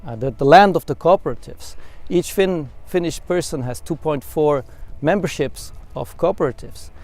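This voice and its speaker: Creaky, higher-voiced man. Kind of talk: informal spoken testimonial.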